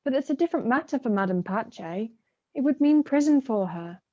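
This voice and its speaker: A woman's voice: on the high side, expressive, a little fast, warm tone. A woman playing a bitter, defiant young daughter in a stage play, with a sardonic, mocking manner. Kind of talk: theatrical play acting. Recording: dull muffled video-call audio.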